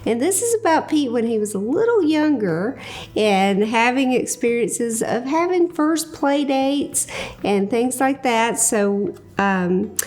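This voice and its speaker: Woman reading a picture book aloud to kids, high, lively, slow. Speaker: children's story reader.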